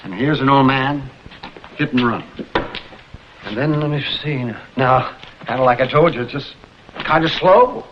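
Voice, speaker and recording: An actor playing a chatty, unhurried American morgue attendant with a folksy, rambling way of talking in a vintage detective drama. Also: male voice, medium pitch, close to an everyday voice. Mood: casual, morbid. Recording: muffled old film soundtrack, faint noise.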